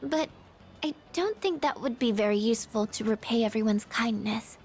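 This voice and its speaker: A very high-pitched, timid teenage girl from a video game, voiced by a woman. She is gentle and hesitant, quick to apologise and doubt herself, with music softly underneath. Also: natural voice. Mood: self-doubting. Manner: hesitant, apologetic, soft-spoken. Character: shy, self-doubting, gentle teenage girl good with machines. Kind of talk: English video game dub acting.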